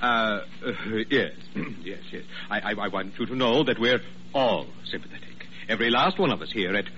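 An actor playing a pompous, flustered hospital administrator who blusters and stammers in old radio drama style. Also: male voice, lowish voice, expressive, normal speed, bright, forceful tone. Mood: flustered, ingratiating. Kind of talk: 1940s radio comedy-drama acting. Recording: muffled, noisy old radio recording.

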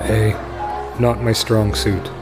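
An actor playing an earnest, noble male superhero in an audio drama, speaking in a measured, steady way. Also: on the low side, over music. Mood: warm, guarded.

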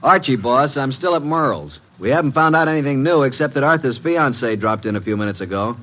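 An actor playing a wry, wisecracking detective's assistant with an informal manner, in classic radio drama style. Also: male voice, mid-range voice, lively intonation, a little fast, fairly bright tone, poor audio. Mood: breezy. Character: breezy, wisecracking male private detective's assistant.

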